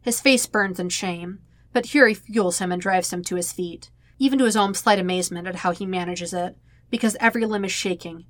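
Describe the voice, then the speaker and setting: A woman's voice: mid-range voice, a little fast, a bit bright, no room sound. Woman reading dramatic fiction, dry sound. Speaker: fan fiction narrator. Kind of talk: dramatic scripted story narration.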